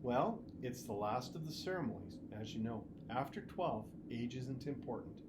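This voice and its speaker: A storybook reader playing a caring, practical mother who gives measured, earnest advice to her child. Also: medium pitch, lively intonation, noisy background, close to an everyday voice. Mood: advising.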